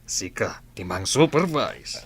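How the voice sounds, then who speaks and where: A man playing a teasing, authoritative older father and boss in an audio drama, speaking deliberately and expressively. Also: on the low side, expressive, slightly rough, full character voice. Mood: commanding.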